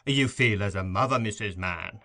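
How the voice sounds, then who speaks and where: A man's voice: on the low side, normal speed, sharp tone. A man voicing a pompous, self-important parish officer who blusters and speechifies. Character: pompous fat choleric male parish beadle.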